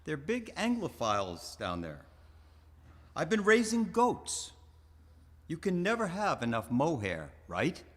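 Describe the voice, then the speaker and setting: A man's voice: a little high, wildly swooping pitch, slow, a bit bright, heavily acted. A man playing an elderly, genial star of the stage in a live radio theater comedy, theatrical and suave. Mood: breezy, boastful. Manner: theatrical, debonair, speaks song lyrics in rhythm.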